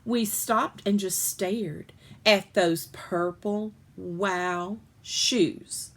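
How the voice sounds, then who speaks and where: Casting: female story reader, medium pitch, expressive read-aloud narration for children. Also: very slow.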